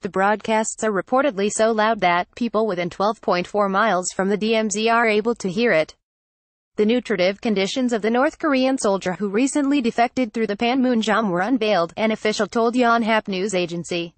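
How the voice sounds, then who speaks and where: A woman reading a scripted news report in an even, flat delivery. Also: mid-range voice, brisk, no room sound. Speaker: news reader.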